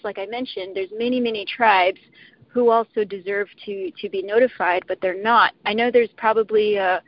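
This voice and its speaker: A woman speaking earnestly as a panelist on a telebriefing, pausing and restarting often. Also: medium pitch, vocal fry. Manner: earnest, halting with frequent restarts. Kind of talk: speaking on a conference call. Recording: muffled phone-line audio.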